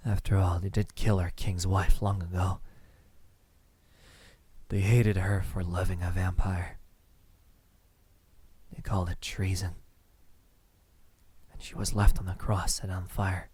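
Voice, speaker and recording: A man playing a lonely, centuries-old vampire suitor in an ASMR roleplay, speaking softly and haltingly with tender words that slip into whispers. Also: low-pitched, flat delivery, slightly rough. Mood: grave, persuasive. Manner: soft-spoken, halting, tender, slipping into whispers.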